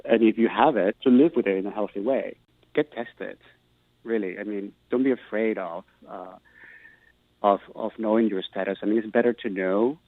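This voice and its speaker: Middle-aged man, low-pitched, earnest and searching, phone-thin dry audio. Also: normal speed, no echo, narrow, tinny audio. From Peru. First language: Spanish. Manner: earnest, thoughtful, restarts words while searching. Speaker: guest, Spanish professor. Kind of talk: recorded interview answers.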